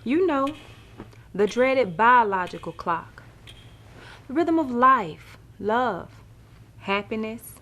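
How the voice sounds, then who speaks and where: An actress playing a wry, love-struck woman who tells her life story in an expressive, sassy, conversational stage monologue. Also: mid-range voice. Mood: wry, exasperated. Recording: music playing behind the voice.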